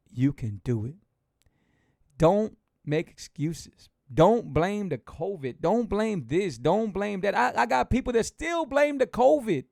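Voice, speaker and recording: Man, late 40s, livestream motivator: loose, repetitive, pep-talk energy, slightly high voice, dry close sound. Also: creaky, dry recording. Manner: rambling, repetitive, animated and encouraging. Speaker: motivational speaker.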